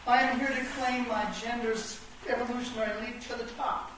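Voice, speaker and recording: A woman's voice: on the high side, level tone, a little fast, a bit bright, natural voice. A woman playing a grand, bossy Woman King chess piece, lofty and declamatory, in a comic radio play. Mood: commanding. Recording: echoey room, distant mic, faint noise.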